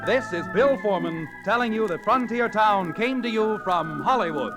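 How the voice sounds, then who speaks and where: High-pitched declamatory old-time radio announcer, male. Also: expressive, low-quality recording. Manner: declamatory, drawn-out calls. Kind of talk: 1940s radio announcing, dramatic scripted narration. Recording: vintage radio recording, loud organ. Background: loud organ music.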